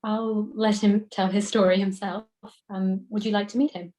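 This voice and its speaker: A woman's voice: mid-range voice, flat delivery, normal speed, warm tone, natural voice, poor audio. A woman playing an attentive, motherly hospital worker in a screenplay table read. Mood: courteous, admiring. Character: motherly, attentive medical woman, phlebotomist or doctor.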